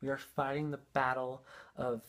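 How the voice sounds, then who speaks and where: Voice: medium pitch, level tone, normal speed, fairly bright tone. A young adult speaker telling personal stories in a casual, heartfelt way, rambling and repeating phrases for emphasis.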